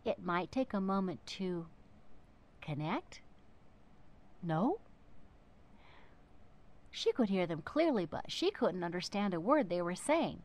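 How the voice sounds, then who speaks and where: Animated woman reading a children's storybook. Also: lowish voice. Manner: theatrical, dramatic emphasis and pauses. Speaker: narrator reading a children's book. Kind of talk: expressive storybook narration.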